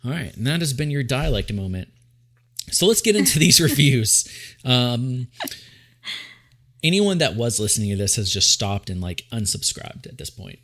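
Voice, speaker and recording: A man's voice: medium pitch, big pitch swings, moderate pace, fairly bright tone. A young man from East Tennessee with a light East Tennessee accent, co-hosting a podcast in a chatty, laughing way. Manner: chatty, laughs often, lots of fillers. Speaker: podcast co-host. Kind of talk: casual chat.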